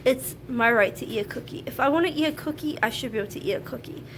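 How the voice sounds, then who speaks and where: A woman's voice: a little high, fast, background noise. A woman who sounds nasal from a sinus infection, talking frankly and chattily to camera about her views at home. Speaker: vlogger. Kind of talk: casual talk to camera. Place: at home, laundry running.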